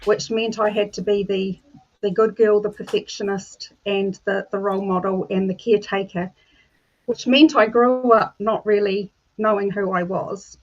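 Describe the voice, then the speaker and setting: A woman from New Zealand, a life coach, telling her personal story in a measured way over a video call. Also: medium pitch, steady tone, lo-fi sound.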